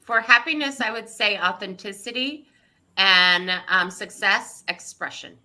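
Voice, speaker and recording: A woman with a very bright, sharp voice gives short answers as an educator on a panel. She speaks slowly and deliberately. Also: mid-range voice.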